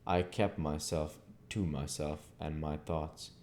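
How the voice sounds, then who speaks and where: A man with a very low, dark and mellow voice reads as an audiobook narrator. His delivery is measured and literary, with a soft-edged tone. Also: steady tone, a little hiss or hum. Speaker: audiobook reader, channel host. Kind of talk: scripted narration of a classic novel.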